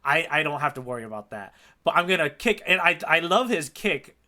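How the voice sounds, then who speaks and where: A man with a slightly high, very bright voice talks as a podcast co-host. His delivery is casual and conversational. He comes across as an enthusiastic, appreciative fan. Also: sing-song, fairly quick. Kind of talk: casual chat about a manga chapter.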